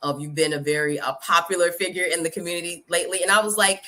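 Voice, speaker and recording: A woman's voice: on the low side, steady tone, fast, bright, forceful tone. A woman news publisher hosting an interview, chatty and encouraging, with plenty of fillers.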